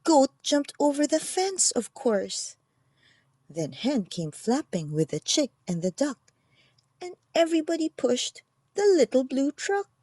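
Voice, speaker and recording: Animated, high-voiced woman reading a storybook. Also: unhurried, no room sound. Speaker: children's book narrator. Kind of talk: animated storybook read-aloud.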